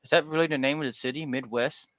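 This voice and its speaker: A man's voice: medium pitch, steady tone, quick pace, fairly bright tone, no echo. A man from Georgia hosting a casual livestream panel, talking in a rambling, folksy way with lots of fillers. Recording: dull lo-fi dry stream audio.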